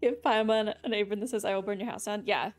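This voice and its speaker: A woman's voice: mid-range voice, fairly even intonation, fast talker. A young woman doing casual live gameplay commentary as a streamer, wry, rambling and playful. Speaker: game streamer. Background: game music.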